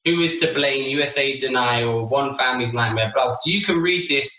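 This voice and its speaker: A man's voice: mid-range voice, a little fast, sharp tone. A man co-hosting a casual argumentative talk podcast, speaking in an earnest, insistent way over a remote call. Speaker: podcast co-host. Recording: roomy, echoey room sound.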